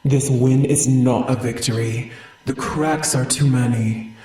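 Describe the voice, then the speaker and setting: A gloating monstrous spider god acted out by a man taunts its foe in an audio drama. The voice is mellow and echoing, pushed up from the performer's natural register, with slow, ominous menace. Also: mid-range voice, steady tone, voice pushed up, lightly acted. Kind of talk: dramatic audio fiction acting.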